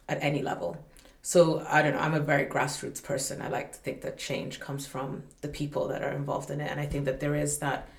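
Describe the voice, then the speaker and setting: A woman's voice: sits low, vocal fry. Woman, from Malawi, earnest, thoughtful, fillers and restarts, echoey room. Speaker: guest, UN humanitarian staff representative. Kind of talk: podcast conversation.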